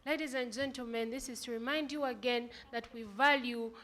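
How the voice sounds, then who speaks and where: A woman emcee with a Ugandan accent hosts a live poetry event. She has a bright, forceful voice and pitches slightly high. She is enthusiastic and speaks directly to the crowd. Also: fairly quick. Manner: enthusiastic, addresses the audience directly.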